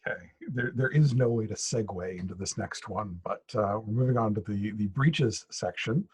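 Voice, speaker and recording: A middle-aged man with a low, slightly rough voice co-hosts a casual news podcast. He speaks haltingly, with a wry delivery. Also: darkish tone. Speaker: podcast co-host.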